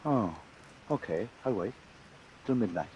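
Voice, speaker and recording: A man's voice: lowish voice, lively intonation, a little slow, darkish tone, muffled recording. An unhinged killer in an old radio thriller. He talks casually, with an amused, gleeful menace, on a vintage recording with faint hiss. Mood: brooding. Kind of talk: vintage suspense radio drama acting.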